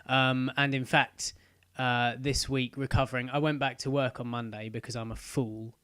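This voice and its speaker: A man co-hosting a podcast in casual chat, halting with many ums, still getting over an illness. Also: mid-range voice, level tone, fairly bright tone, clear, resonant tone, no room sound, clean studio sound. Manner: halting, lots of ums and uhs. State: recovering from illness. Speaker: podcast co-host.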